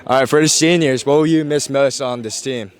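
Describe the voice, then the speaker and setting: A young man interviews players on a sports field, firing off short, upbeat questions. His voice sits at an ordinary middle pitch for a man. He is recorded outdoors. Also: steady tone, fairly quick. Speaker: student sports reporter. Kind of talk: casual sideline interview.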